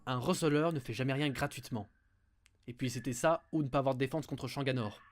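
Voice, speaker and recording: A man's voice: mid-range voice, fast talker, close to an everyday voice. A man playing a knowledgeable, wry and hesitant mage in an amateur audio fiction. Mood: uneasy.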